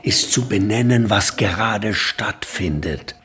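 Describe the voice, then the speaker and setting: An actor playing a grandiose, commanding boss in a radio drama, declaiming with pompous, visionary emphasis. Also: male voice, fairly low pitch, lively intonation, slow, roomy sound, background noise. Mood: visionary, insistent.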